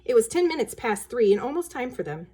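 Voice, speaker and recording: A woman narrates a children's storybook. Her voice is on the high side and slightly husky, with lively, varied intonation, and she speaks casually to her young listeners. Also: a little fast.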